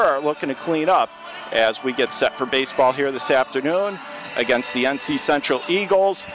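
Man calling baseball play-by-play, slightly high voice. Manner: steady, measured calling that rises sharply on big plays. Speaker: radio play-by-play announcer.

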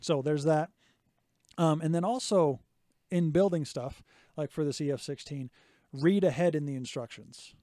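Man in his 30s, slightly hoarse, warm mellow tone, chatty step-by-step explainer, dry close mic in a home workshop. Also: fairly high pitch, moderate pace, no room sound. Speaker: podcast co-host. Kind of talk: casual hobby podcast chat. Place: small home workshop indoors. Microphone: studio mic on boom arm, close.

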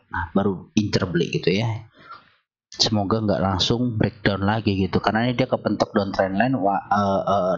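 A hoarse man explains stock charts in a relaxed, conversational way, with many fillers. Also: fairly low pitch, lo-fi sound. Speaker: stock market analyst. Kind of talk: screen-share chart walkthrough.

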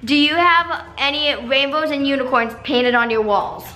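A young woman with an excitable, giggly, gushing manner, hosting playful prank phone calls. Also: high-pitched, moderate pace, faint music behind, rough recording. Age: teenager or young adult. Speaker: prank-calling video host.

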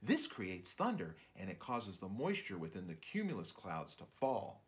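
A man's voice: sits low, huge pitch swings, moderate pace. A man voicing an earnest, bookish young rabbit boy in a storybook, explaining things precisely like a little lecturer. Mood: earnest, lecturing. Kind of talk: storybook character, read aloud.

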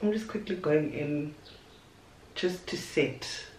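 A woman's voice: low voice, slow, far from the mic, a little hiss or hum. A young woman chatting casually through a makeup tutorial at her vanity, playful and talkative. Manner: chatty, playful asides, talks while applying makeup. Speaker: beauty vlogger. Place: bedroom vanity table, indoors. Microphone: camera on the desk, a little away. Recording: roomy echo, voice a bit off-mic.

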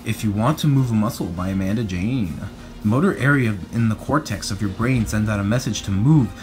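A man reading a children's picture book aloud in an animated, expressive way. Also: fairly low pitch, fairly quick, over music. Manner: expressive, acts out captions and speech bubbles.

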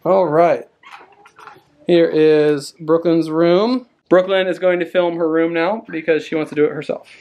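A man's voice: a little high, fairly even intonation, measured pace, darkish tone. An American man in his thirties, a father chatting casually and playfully to his kids as he narrates for a home vlog. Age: 30s. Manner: playful, coaxing parent talk. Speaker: father hosting a family vlog. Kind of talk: casual narrated house tour, chatting to children. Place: indoors, rooms of a family house. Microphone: handheld camera at arm's length. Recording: noisy handheld home video.

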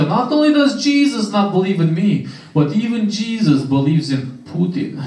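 A young man from Romania doing a stand-up set on a small club stage with a handheld mic, delivering deadpan punchlines and chatting with the crowd. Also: fairly high pitch. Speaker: stand-up comedian. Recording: roomy club sound, camera far off. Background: audience laughter.

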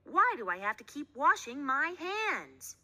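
A woman plays an eager little storybook boy, high and very bright. He is curious and excitable, always keen to know more. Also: measured pace, big-room sound, heavily acted. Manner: excitable, inquisitive.

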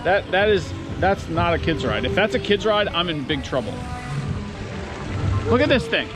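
A man's voice: sits high, over music. A man filming his son on amusement park rides, gasping and exclaiming oh my and whoa in a casual family vlog. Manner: excitable, keeps gasping oh my and whoa. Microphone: camera carried on the rides. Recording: loud wind rushing over the mic.

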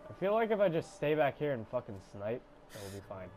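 A young man with a congested voice giving casual, swearing commentary while playing a game, mostly low and mumbled with sudden loud outbursts. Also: very animated, a little fast. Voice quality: congested, stuffy. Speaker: gamer playing a shooter game.

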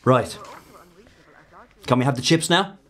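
A man's voice: mid-range voice, expressive, measured pace, very noisy, close to an everyday voice. An Irish man playing a sullen, contemptuous slacker in his thirties in a radio drama, sardonic and evasive. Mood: evasive, irritated. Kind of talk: naturalistic radio drama acting.

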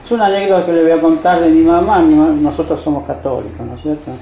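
Older man, mellow gravelly voice. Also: on the high side, fairly even intonation, fast. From born in Slovenia, moved to Argentina at ten. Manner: rambling, with frequent tag questions. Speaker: interviewed artist. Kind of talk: casual personal storytelling in an interview. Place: book-lined indoor room, seated. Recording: echoey room pickup.

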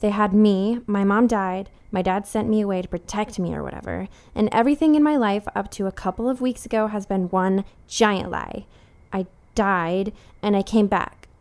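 A woman's voice: mid-range voice, normal speed, a little mellow, close to an everyday voice. A woman playing a blunt, determined young undead half-vampire in an audio drama, casual and sardonic. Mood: weary, frank. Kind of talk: naturalistic audio drama acting.

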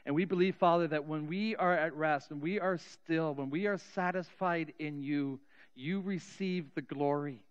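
Earnest pastor on a dull headset mic. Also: male voice, a little high, clear, resonant tone. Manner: earnest, with slow drawn-out emphasis and trailing soft endings. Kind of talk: sermon storytelling and spoken prayer. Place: large church sanctuary, indoors. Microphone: cheek headset mic.

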